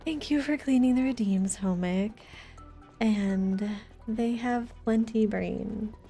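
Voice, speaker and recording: Mellow-voiced woman streaming a game. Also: fairly high pitch, slow, soft background music. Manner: playful teasing banter, gasps at discoveries. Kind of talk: casual livestream gaming chat.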